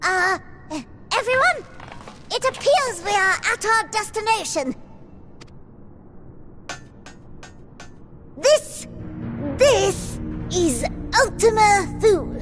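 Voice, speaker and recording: A woman's voice: extremely high pitch, lively intonation, slow, fairly bright tone, soft background music, heavily acted. A woman voicing a small, earnest and practical expedition leader in a video game. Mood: hesitant, awed. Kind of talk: dramatic video game voice acting.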